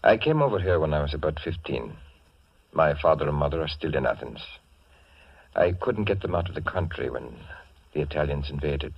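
Very deep-voiced, courteous immigrant man. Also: animated, brisk, creaky, slightly rough, dry recording, heavily acted. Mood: earnest, confiding. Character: courteous, secretive Greek immigrant man, earnest patriot. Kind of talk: 1940s radio drama acting. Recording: muffled vintage radio recording.

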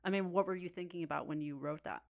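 A woman's voice: lowish voice, fast talker, vocal fry. A young woman co-hosting a podcast, talking in a thoughtful, agreeable way. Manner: thoughtful, agreeable, wraps up the show. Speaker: podcast co-host. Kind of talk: casual podcast chat.

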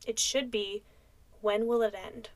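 A woman's voice: on the high side, steady tone, measured pace. A young American woman hosting a podcast, reading scripted narration. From United States. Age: young adult. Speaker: podcast host.

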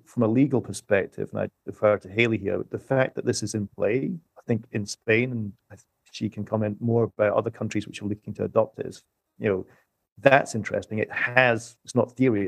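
Man, creaky low voice, dry close sound, economist guest, measured conversational explaining. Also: fairly even intonation, a bit bright, no echo.

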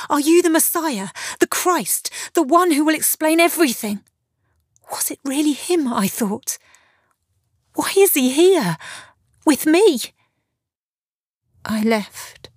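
A woman performing a feisty, awestruck Samaritan village woman in a theatrical Bible monologue, with expressive delivery and deliberate pauses. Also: fairly high pitch, slightly rough, no room sound, studio quality, voice pushed up, full character voice. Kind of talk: dramatic first-person Bible monologue.

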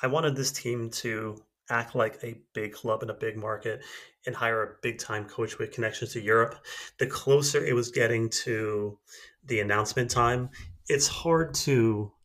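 A man's voice: mid-range voice, steady tone, moderate pace, a bit bright. A man hosting a podcast, running through news in a conversational, informal way. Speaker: podcast host. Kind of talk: casual news rundown.

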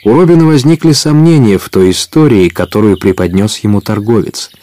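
A man's voice: on the low side, fairly quick, mellow. A man narrating an adventure audio drama in a scripted storytelling style.

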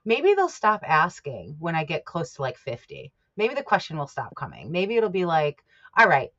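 Late-30s woman host, really low voice, playful and self-mocking, muffled lo-fi audio. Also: wildly swooping pitch, moderate pace, fairly bright tone. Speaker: podcast host. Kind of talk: casual chat. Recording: dull lo-fi sound.

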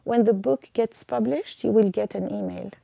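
A woman's voice: medium pitch, moderate pace, gravelly, warm tone, dry recording, lo-fi sound. A woman hosting a casual live chat, a friendly and patient Arabic teacher who helps guests find their words.

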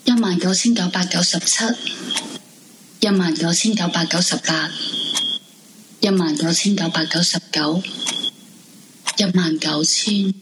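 An actress playing an introverted, brooding young woman in a radio drama, confiding in Hong Kong Cantonese with dramatic pauses. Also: on the low side, noisy background, close to an everyday voice. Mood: weary, hollow. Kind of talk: radio drama monologue in first person. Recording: music bed under the voice. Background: dramatic background music.

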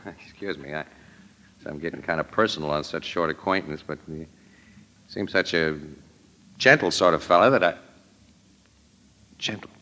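An actor playing an easygoing, slangy American crime photographer with a wry, breezy manner in an old radio drama. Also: male voice, a bass voice, normal speed, long pauses, pushed and bright. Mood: wry, casual.

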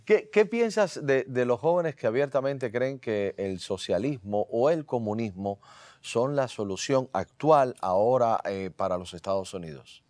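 A man hosting a casual interview show, speaking conversationally with halting, hesitant phrasing and repeated words. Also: medium pitch, animated, a little mellow, dry recording.

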